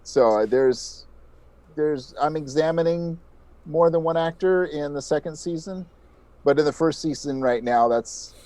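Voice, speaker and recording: A man's voice: fairly high pitch, dark tone, no room sound. A man giving earnest, thoughtful interview answers as a podcast guest, often restarting his sentences. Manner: earnest, thoughtful, halting with repeated starts. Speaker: podcast guest, audio drama creator. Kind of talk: casual reflective interview answers.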